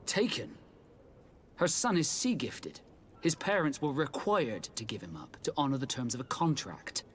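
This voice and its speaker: An actor playing a guarded, formal sea captain in a video game, with a dry, measured manner. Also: male voice, medium pitch, big pitch swings, a little hiss or hum, close to an everyday voice. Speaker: ship captain character. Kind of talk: video game cutscene dialogue acting.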